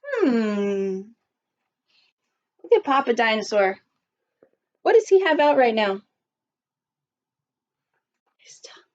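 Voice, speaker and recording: Higher-voiced woman teacher, dull lo-fi recording. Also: slow pace, pauses often. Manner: expressive, asking the listeners questions. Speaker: primary school teacher. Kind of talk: children's storytime read-aloud with asides to listeners.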